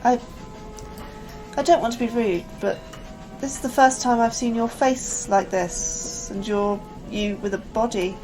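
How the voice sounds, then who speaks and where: An anxious, easily awed scientist, played by a woman, speaks in a fairly high voice with hesitant, earnest delivery. It is sci-fi radio drama, with music running underneath. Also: steady tone, unhurried, mellow, lightly acted, rough recording. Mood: awkward, apologetic. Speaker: actor in a sci-fi horror fiction podcast. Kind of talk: audio drama acting.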